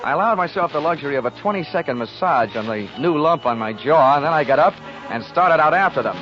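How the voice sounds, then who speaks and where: A man's voice: a little high, expressive, quick pace, natural voice. A male actor playing a cocky, wisecracking insurance investigator in a 1940s radio drama, with a dry, sardonic delivery. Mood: wry narrating. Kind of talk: 1940s radio detective narration and acting. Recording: muffled 1940s radio recording, music behind.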